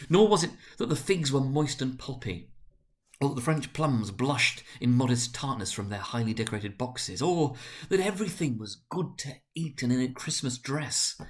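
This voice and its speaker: A man reading classic prose aloud as a storyteller, in an expressive, deliberate, dramatic narrating manner. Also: medium pitch. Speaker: narrator, reader.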